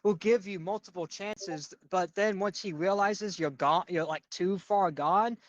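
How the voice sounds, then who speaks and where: A loud young man chatting on a reaction stream, rambling with plenty of filler words. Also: sits high, unhurried, dull audio. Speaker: commentary streamer. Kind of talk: casual reaction chat.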